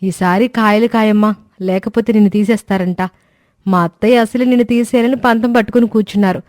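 A woman's voice: mid-range voice, fairly even intonation, a little fast, darkish tone, no room sound, studio quality, lightly acted. A woman voicing a cheerful but stubborn young village daughter-in-law in a cartoon story, earnest and pleading. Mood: affectionate, pleading. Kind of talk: cartoon moral-story voice acting.

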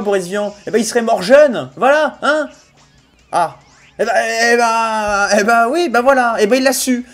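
Mock-ranting young male streamer, headset. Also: extremely high pitch. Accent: Sarthe French. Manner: mock-outraged ranting, laughing often, bursts of loud excitement. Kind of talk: casual livestream chat while playing a video game. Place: small room at home.

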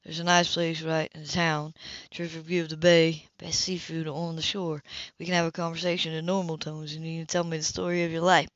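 A suave, confident man flirts in a low-key, lowered voice put on by a woman reading a romance novel aloud. The sound is dry and slightly dull, like a home recording. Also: level tone, brisk, no room sound, close to an everyday voice. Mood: flirtatious, assured. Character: smooth flirtatious adult man, confident ad executive.

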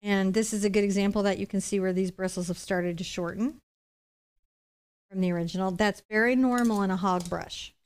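A woman's voice: mid-range voice, fairly even intonation, a little fast, a little mellow, clean tone. A middle-aged woman giving a conversational step-by-step painting lesson, chatty and explanatory with reassuring asides. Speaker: painting instructor, host.

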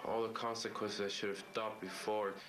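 A teenage male high school student confessing in a subdued, regretful way. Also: level tone, normal speed. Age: teens. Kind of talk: reflective interview confession. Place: school room with whiteboard.

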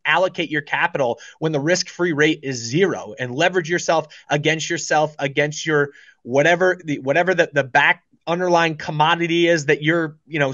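A man's voice: fairly high pitch, a bit bright, dry recording. Confident male guest in his 30s, emphatic, dry and slightly dull call audio. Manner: confident, emphatic, repeats words for stress. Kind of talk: casual investing talk. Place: small studio with branded backdrop, indoors. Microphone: studio mic on boom arm, video call.

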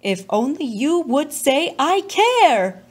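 A woman's voice: high-pitched, sing-song, takes it slowly, pitched above the performer's own voice, exaggerated voice. A woman voicing a stern, exasperated father scolding his young son in a children's storybook, firmly. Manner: firm, scolding.

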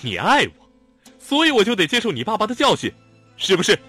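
A man's voice: low voice, very animated, normal speed, husky, soft background music, full character voice. Man doing an angry young student. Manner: angry, sharp, raised. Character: young male student, hot-tempered and cornered. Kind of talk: audiobook character voice.

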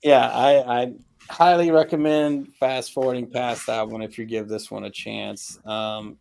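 Halting middle-aged man reviewing records. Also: mid-range voice, fairly even intonation, gravelly, a little mellow. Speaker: album review panelist. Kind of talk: casual opinionated music review chat. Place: home room with record shelves.

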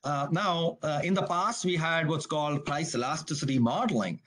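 Higher-pitched man giving a business webinar. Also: a bit bright. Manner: brisk, conversational, frequent "you gotta". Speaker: webinar presenter. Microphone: video call.

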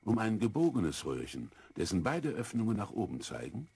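Socratic elder for kids' audio drama: low, gravelly, lively intonation, patient and didactic. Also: male voice, full character voice. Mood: thoughtful, kindly. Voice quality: husky, gravelly. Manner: measured, thoughtful, didactic. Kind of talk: 1970s German children's radio play acting.

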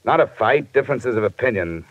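An actor playing a tough, embattled private investigator in a terse, hard-boiled 1950s radio drama style. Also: male voice, medium pitch, animated, brisk, natural voice. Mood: grim, urgent. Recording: muffled 1950s radio broadcast recording.

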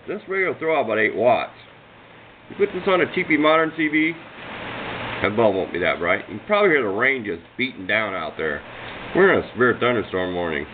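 An American man with a mid-range voice talks casually through a hands-on demonstration at a workbench. He is plain-spoken and rambling, folksy and a little self-deprecating. The sound is thin and phone-like, with clear noise behind him. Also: medium pitch. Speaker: hobbyist radio demonstrator. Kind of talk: casual unscripted show-and-tell narration. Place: indoors at a workbench.

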